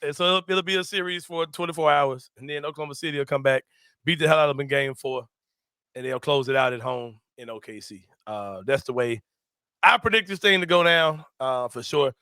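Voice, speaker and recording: Bright-voiced sports host. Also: male voice, on the high side, fairly quick, clean tone, dry recording, clean studio sound. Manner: animated, slangy, laughs a lot. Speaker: sports talk show host. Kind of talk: casual sports chat.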